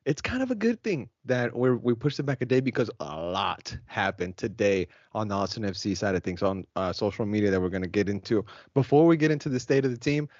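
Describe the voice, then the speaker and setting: A man's voice: on the low side, lively intonation, a little fast, few pauses, darkish tone. A man hosts a podcast over a video call, talking in an upbeat, loose, slangy way. The sound is dull and lo-fi, with a dry room and no echo. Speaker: podcast host. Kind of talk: casual chat.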